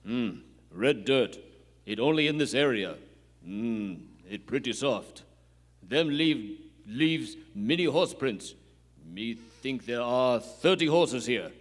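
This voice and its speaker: A loyal, stoic tracker sidekick acted by a man in a stage radio Western. He talks in short, broken phrases with low grunts at a medium pitch. The theatre adds a long echo. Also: animated, slow pace. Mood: watchful. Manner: clipped broken English, terse, grunting hums. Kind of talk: live Western radio play acting. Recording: echoey theatre hall.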